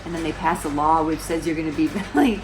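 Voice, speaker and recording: A woman's voice: low-pitched, brisk, music in the background, rough recording. A woman in her forties explaining current events to camera in a casual, conversational way, often restarting sentences and asking rhetorical questions. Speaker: vlogger. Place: small room indoors, seated on a sofa.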